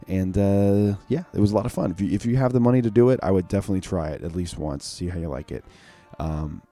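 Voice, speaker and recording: A man hosting a casual solo podcast in a rambling, enthusiastic way, his voice sounding lower than usual. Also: quick pace, mellow, soft background music. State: voice sounding unusually low today. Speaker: podcast host.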